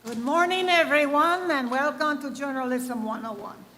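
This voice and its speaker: A woman's voice: sits high, moderate pace, fairly bright tone, a little hiss or hum. An actress playing a journalism professor in her fifties with a Cuban accent, formal and polite with a dry tease. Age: 50s. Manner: formal, polite, dryly teasing. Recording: long hall reverb.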